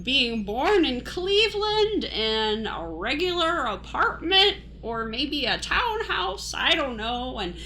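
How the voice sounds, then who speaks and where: Woman as bewildered average newcomer, high animated voice, hesitant, flustered, radio comedy. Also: slow pace, hardly any pauses, slight background noise, heavily acted. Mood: wistful, rambling. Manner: hesitant, gasping, flustered questions. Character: bewildered, average, well-meaning newcomer Fred. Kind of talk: improvised comic radio play.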